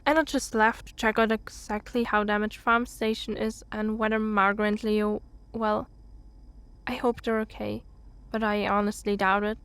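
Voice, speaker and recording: A woman playing an anxious, stranded spaceship crew member in an audio drama, speaking in a worried, halting log. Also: medium pitch, little pitch movement, fairly bright tone, clean tone, lightly acted. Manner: worried, halting, self-correcting.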